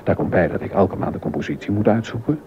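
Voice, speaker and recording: Man acting a low-voiced, aggrieved baroque composer. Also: fast talker, natural voice. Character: proud, aggrieved German baroque composer and court organist. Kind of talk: Dutch docudrama dialogue. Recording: dull sound with background noise.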